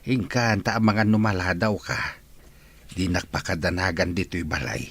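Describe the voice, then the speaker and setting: A man playing a husky old Ilocano father. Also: low voice, normal speed, fairly bright tone, heavily acted. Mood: gentle. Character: elderly father, gentle and grateful. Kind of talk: hobby radio drama.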